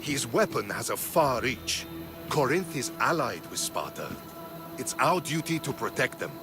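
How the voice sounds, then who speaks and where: An actor playing an earnest, principled Spartan soldier in a video game, speaking in a measured, dramatic way. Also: male voice, medium pitch, sing-song. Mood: earnest, resolute. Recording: music behind the voice.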